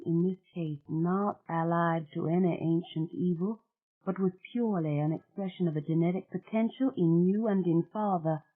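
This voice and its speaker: A strange newborn girl pleads softly in a rapid sing-song, as a woman reader puts her on. Her voice sits a little higher than the reader's own, gentle and clinging. The sound is thin and tinny, as though over a phone line.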